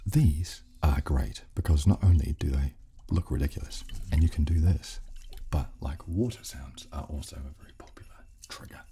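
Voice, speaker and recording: A man in his thirties hosting casual ASMR, giving gentle, dryly playful instructions close to a studio microphone. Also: rumbling low, moderate pace, slight background noise. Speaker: host of an ASMR channel. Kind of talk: hushed ASMR, casual demonstration. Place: small furnished indoor room, seated at a table. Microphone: close studio condenser microphone on the table.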